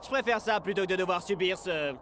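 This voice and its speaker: A man's voice: sits high, fast, background noise, lightly acted. A man voicing a music-loving young footballer with a dramatic, sing-song manner in a cartoon dub. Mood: conceding, cool. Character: laid-back young male musician footballer, fussy about music. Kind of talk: animated cartoon dub acting.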